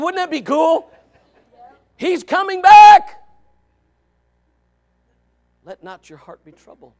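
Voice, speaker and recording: A man preaching a revival sermon, loud and shouting, with joking asides to the congregation. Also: high-pitched. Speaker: pastor.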